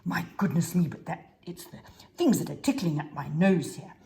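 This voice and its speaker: Woman playing a fussy, polite Mister Frog, lively. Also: on the low side, normal speed, creaky, slight background noise. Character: polite, fussy male frog on a lily pad. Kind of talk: children's storytelling.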